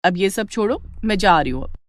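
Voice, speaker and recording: A woman voicing a lively, food-loving young village woman, chatty and eager, in a storybook tale. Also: mid-range voice, a little fast, dry recording, clean studio sound, close to an everyday voice. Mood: insistent.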